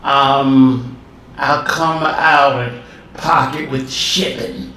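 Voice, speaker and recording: A man hosting a podcast in casual chat, talking in a laid-back, playful, drawn-out way from a home studio room. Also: mid-range voice, steady tone, sharp tone. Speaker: podcast host. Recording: echoey room from far off with faint hiss.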